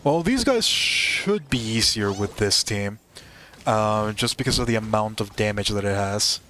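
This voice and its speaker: A man's voice: fairly low pitch, lively intonation, measured pace, bright, forceful tone. A man giving casual gameplay commentary as a gaming host, thinking aloud and correcting himself as he goes.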